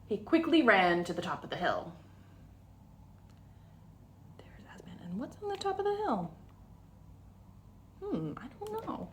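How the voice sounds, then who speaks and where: A woman reading a storybook aloud to children, expressive and deliberate, with little asides to the listeners. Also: a little high, slight background noise. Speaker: children's story time reader.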